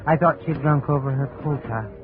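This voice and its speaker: A man's voice: medium pitch, brisk, close to an everyday voice. An actor playing an evasive, wry man on the run in a casual, hesitant manner, in 1950s radio drama style. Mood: wary, puzzled. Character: evasive male fugitive, wry and resigned. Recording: faintly noisy, tinny old radio recording.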